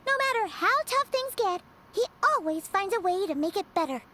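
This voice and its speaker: A woman's voice: very high voice, expressive, a little fast, pushed and bright, heavily acted. A woman voicing a small, upbeat female fairy companion who chatters cheerfully and refers to herself in the third person, in video game voice acting. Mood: chatty.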